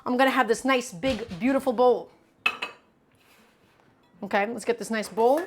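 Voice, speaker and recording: A woman's voice: fairly high pitch, moderate pace, background noise. A young woman hosting a live cooking stream. She talks to her viewers in a chatty, upbeat way, often calling them "guys" and hyping them up. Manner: upbeat, hypes up the audience.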